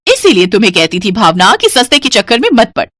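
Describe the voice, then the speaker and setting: A woman's voice: medium pitch, big pitch swings, fast talker, fairly bright tone, no room sound. A sensible elder sister-in-law from a storybook cartoon, played by a woman narrator, lecturing in a raised, firm voice. The delivery swings widely and sounds dry and close.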